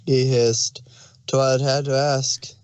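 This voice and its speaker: A man's voice: mid-range voice, flat delivery, takes it slowly, darkish tone, clear, resonant tone, dry recording. A man narrating a story aloud as a scripted fan fiction reading.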